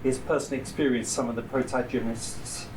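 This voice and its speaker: A man's voice: medium pitch, fairly even intonation, fast talker. A man hosting a live literary event in a bar, reading out a prepared introduction. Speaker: event host. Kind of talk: introducing a reader, scripted bio. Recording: distant mic, faintly noisy bar.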